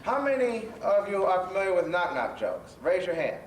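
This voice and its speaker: A man's voice: high-pitched, very animated, moderate pace. A man playing a jovial storytelling professor who hosts a children's stage show with slightly stumbling delivery. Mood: genial. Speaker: host. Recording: roomy, distant stage recording with faint noise.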